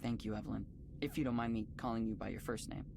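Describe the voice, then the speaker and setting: Polite, earnest tech developer. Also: male voice, on the low side, fairly even intonation, creaky, background noise. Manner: courteous, considerate, measured. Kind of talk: visual novel voice acting, conversational.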